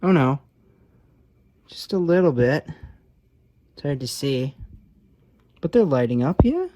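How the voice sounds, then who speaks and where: A man's voice: a little high, very animated, slow, warm tone. A man giving casual, unscripted commentary on his own hands-on repair, offhand and thinking aloud as he works. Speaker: hobbyist restorer presenting his own repair video.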